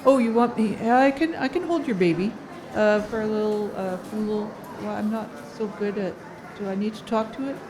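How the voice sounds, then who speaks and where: A woman's voice: mid-range voice, a little fast, lightly acted, low-quality recording. A woman voicing a flustered, obliging helper trying politely and nervously to steer a crowd in an improvised comedy audio drama.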